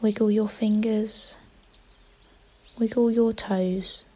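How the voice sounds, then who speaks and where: Woman meditation guide. Soft-toned and mellow, unhurried short instructions, faint birdsong and outdoor ambience behind her. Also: mid-range voice, steady tone, slight background noise. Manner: gentle, unhurried, pausing between short instructions.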